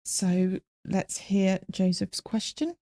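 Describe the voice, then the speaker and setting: Woman cohosting a podcast, low gravelly mellow voice, keeps saying yeah and mm. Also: takes it slowly. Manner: agreeable, frequent short affirmations. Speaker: podcast co-host. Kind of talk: podcast discussion, introducing listener questions.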